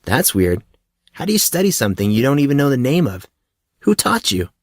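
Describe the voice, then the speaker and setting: A man's voice: medium pitch, sing-song, a little fast, no echo, clean studio sound, voice pushed up. A man voicing a curious, hesitant and wry fourteen-year-old boy in an audiobook. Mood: inquisitive. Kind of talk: audiobook character dialogue.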